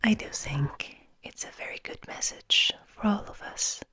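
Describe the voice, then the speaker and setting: A woman hosting ASMR in a breathy whisper, speaking in a soft, soothing, unhurried manner. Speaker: ASMR host.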